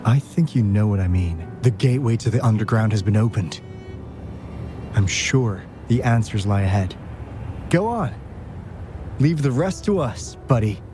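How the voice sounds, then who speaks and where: Guy acting friendly loyal buddy in a video game, lowish voice, earnest, music going. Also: expressive, moderate pace, long pauses, close to an everyday voice. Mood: encouraging. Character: friendly, loyal male comrade, encouraging and earnest.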